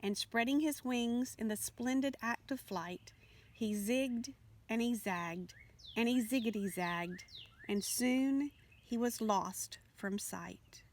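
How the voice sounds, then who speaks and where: A woman narrates a children's story in a fairly high voice. Her reading is expressive, as for a picture book, and there is faint noise behind her. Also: slow. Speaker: storybook reader.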